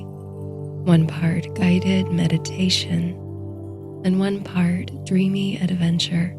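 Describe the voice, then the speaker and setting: A woman narrating a scripted bedtime story and guided meditation in a soothing, hushed, breathy way. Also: lowish voice. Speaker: host and narrator of a sleep story series.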